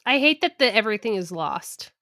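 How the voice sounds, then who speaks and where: A woman's voice: mid-range voice, very animated, a little fast, bright, forceful tone, no echo, clean studio sound. A young woman co-hosting a podcast, chatting casually with lots of fillers, recorded remotely on her own mic. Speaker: podcast co-host. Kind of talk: casual chat.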